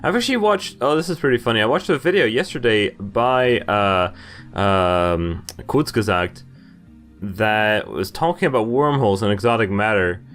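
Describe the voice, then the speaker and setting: A man's voice: lowish voice, expressive, moderate pace, a bit bright, faint music behind. A man in his twenties hosting a playthrough, reading game narration aloud with casual asides. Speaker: gaming video host. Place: indoor room, webcam in corner.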